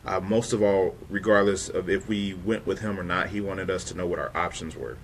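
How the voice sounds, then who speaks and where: Low-voiced man in his thirties, casual host. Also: fairly even intonation, a little fast, slight background noise. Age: 30s. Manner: informal, conversational. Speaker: show host. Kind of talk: casual interview chat.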